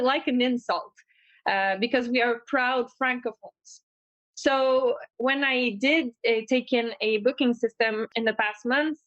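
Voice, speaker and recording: A woman tour operator with a Québécois French accent asks questions in a halting, thoughtful way. Her voice sits on the higher side. The sound is dry and a little dull. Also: no echo. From Quebec, Canada. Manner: thoughtful, halting, with many fillers. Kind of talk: asking questions in a podcast chat.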